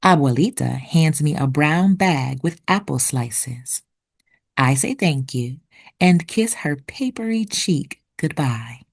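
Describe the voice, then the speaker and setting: A woman narrating for children like a teacher, with deliberate, expressive enunciation. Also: deep voice, creaky, breathy edge. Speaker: read-aloud host and narrator. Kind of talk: children's picture book read-aloud with vocabulary teaching.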